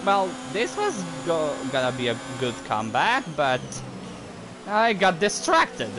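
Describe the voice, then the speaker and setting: A man's voice: a little high, wildly swooping pitch. A man whose first language is French, casually commenting while playing a racing game, rambling and breaking into sudden outbursts. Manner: rambling, easily distracted, quick outbursts. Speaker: gaming YouTuber. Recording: gameplay audio with clear engine noise.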